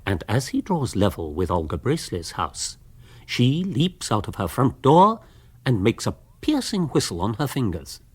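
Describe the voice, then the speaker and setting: A man's voice: mid-range voice, wildly swooping pitch, moderate pace, darkish tone, husky. An actor narrating a radio comedy, a man with an English accent and a wry, genteel storytelling style. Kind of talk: scripted narration.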